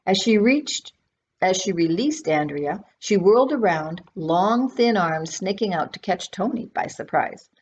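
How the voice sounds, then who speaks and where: A woman's voice: medium pitch, animated, measured pace. A woman reading a children's novel aloud expressively, as its author. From Canada. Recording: dull sound with faint background noise.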